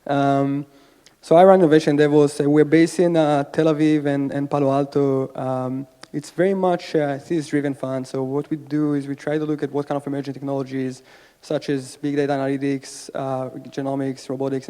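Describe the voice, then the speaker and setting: A man's voice: mid-range voice, flat delivery, fairly quick, mellow. A man with an Israeli accent introducing his fund on a conference panel, hesitant with many fillers. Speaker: venture capital panelist. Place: conference hall stage. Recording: hall reverb.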